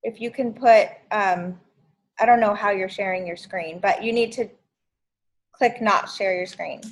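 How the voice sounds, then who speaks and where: A woman's voice: mid-range voice, unhurried, low-quality recording. A woman in her fifties hosting a sales training video call, chatty and encouraging. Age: 50s. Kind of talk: casual video call meeting.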